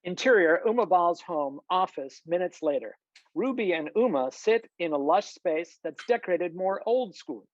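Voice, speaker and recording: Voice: medium pitch, lively intonation, moderate pace, no echo, highs cut off. A narrator reading screenplay stage directions and scene headings in a scripted style. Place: close, dry room on a video call.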